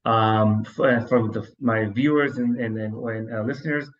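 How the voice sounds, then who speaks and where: A man's voice: fairly low pitch, fairly even intonation, moderate pace, dull audio. A man hosting a casual podcast, rambling and restarting his sentences a lot. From New York, US. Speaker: podcast host.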